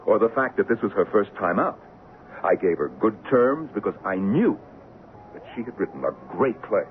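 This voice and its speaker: An actor playing an exasperated, proud Broadway producer in a radio drama, emphatic, effusive and given to repeating himself. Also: male voice, on the low side, animated, normal speed, lightly acted. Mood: wry, wounded. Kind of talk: old-time radio drama acting. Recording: muffled, hissy old radio recording.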